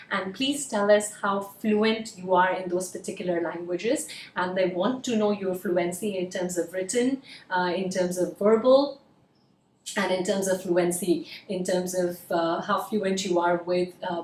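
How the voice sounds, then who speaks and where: A woman's voice: mid-range voice, distant mic, slight background noise. A woman from India, a Hindi native speaker, giving earnest casual advice as a cabin crew interview coach, with many "uh" fillers. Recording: roomy echo, voice a bit off-mic.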